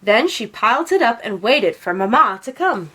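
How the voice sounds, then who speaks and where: Female storybook narrator, expressive, highish voice, very lively intonation. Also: normal speed, breathy edge. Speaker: storybook read-aloud narrator.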